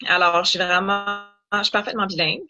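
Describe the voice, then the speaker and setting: A woman's voice: medium pitch, little pitch movement, quick pace, sharp tone, highs cut off, faint music behind. A young woman from Ottawa, a doctoral student guest in an interview, explaining at length with many fillers. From Ottawa, Canada.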